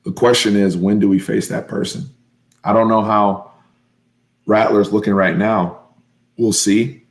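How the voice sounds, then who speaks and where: A hoarse man hosting a live fan stream, recapping a football game in an excitable, emphatic way and repeating his points. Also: on the low side, measured pace. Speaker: host of a fan sports channel.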